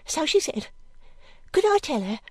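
A wretched young servant girl from a storybook. The voice is very high and close and dry, plaintive and pleading, anxious to please and easily frightened. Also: very animated, a little slow, darkish tone, dry recording. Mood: frightened, distraught. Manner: plaintive, pleading, breathlessly repetitive. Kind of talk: audiobook character acting.

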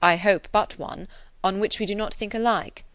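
A woman reading the lines of a grave, kindly gentleman in an audiobook, measured and earnest with a touch of gentle teasing. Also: mid-range voice, fairly even intonation, no room sound, natural voice.

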